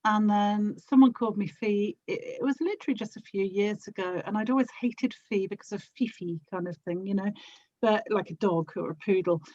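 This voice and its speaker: A woman chatting casually as a podcast guest, with a gentle, giggly way of talking. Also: medium pitch, brisk, highs cut off. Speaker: podcast guest, audio drama producer. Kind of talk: casual chat.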